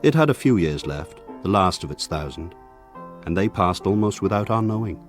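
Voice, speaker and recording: An actor playing an older man recalling his village childhood in measured, reflective, lyrical radio drama narration. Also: low voice, lively intonation, faint music behind. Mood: wistful, elegiac.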